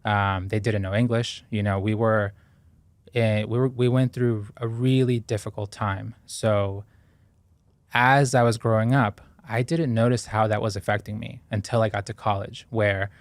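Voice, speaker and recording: Low-voiced guy in his 30s, thoughtful, lots of ums and restarts, dead dry mic. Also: steady tone, normal speed, no echo. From Ecuador. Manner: earnest, thoughtful, with frequent fillers and restarts. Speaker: guest. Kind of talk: reflective interview talk.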